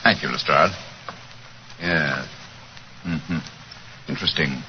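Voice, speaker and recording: A man playing a shrewd, commanding British gentleman detective with clipped, precise authority, in 1940s radio style. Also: very deep voice, slow pace, slight background noise. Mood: analytical. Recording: muffled old radio recording.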